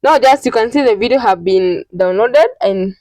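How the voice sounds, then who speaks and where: High-voiced woman giving step-by-step instructions. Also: darkish tone, no echo. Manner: instructional, repetitive, halting. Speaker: tutorial host. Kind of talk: informal step-by-step screen tutorial narration.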